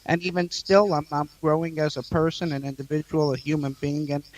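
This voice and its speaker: Halting, mock-boastful comic man. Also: fairly high pitch, level tone, rough recording. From Queens, New York. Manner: mock-boastful, halting with many fillers and stutters. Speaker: comedian guest. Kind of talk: deadpan comic interview.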